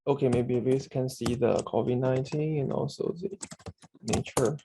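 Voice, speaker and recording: A man giving a screen-share tutorial on searching a database, speaking haltingly with many fillers and restarts. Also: mid-range voice, fairly even intonation, noisy background. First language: Chinese. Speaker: instructor demonstrating a database.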